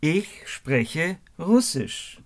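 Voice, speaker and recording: A man from Germany, teaching a language lesson slowly, spelling words out letter by letter and repeating phrases. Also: fairly high pitch, very animated.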